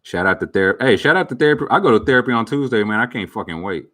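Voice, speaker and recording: A man's voice: lowish voice, lively intonation, rapid-fire, a bit bright. A man around thirty chatting casually with viewers as a streamer, candid and reflective, full of slang and fillers. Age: about 30. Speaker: streamer answering viewer questions. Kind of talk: casual chat.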